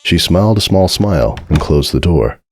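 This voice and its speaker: A man giving a dramatic scripted narration of a story in a measured, solemn way. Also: deep voice, sing-song, no room sound, studio quality. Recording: music behind the voice.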